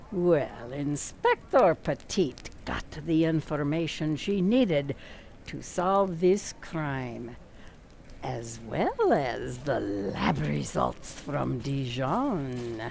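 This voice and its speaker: A woman narrating a radio play as theatrical storytelling, with dramatic, emphatic pauses on key words. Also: sits low, big pitch swings, vocal fry. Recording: faint background noise.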